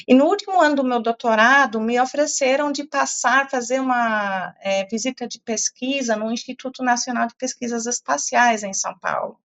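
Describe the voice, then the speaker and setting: A woman's voice: fairly high pitch, a bit bright, lo-fi sound. A middle-aged German-born woman with a European Portuguese accent, a climate science professor answering interview questions thoughtfully, with many fillers and restarts.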